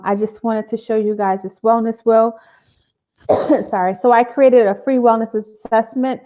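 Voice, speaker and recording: Therapist woman hosting a live chat, filler-heavy, mid pitch, dull audio. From Boston area. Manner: warm-up chatter with many fillers. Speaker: therapist and live show host. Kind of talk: casual live video interview.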